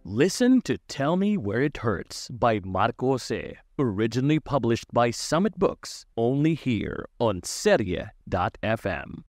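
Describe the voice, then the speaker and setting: A man's voice: mid-range voice, very animated, slow pace. A man reading a scripted promo as an announcer. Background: music.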